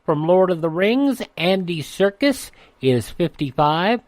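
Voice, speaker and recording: A man's voice: sits high, big pitch swings, slow pace, a little mellow, no room sound. A man with an American accent presenting a radio show in a host's delivery.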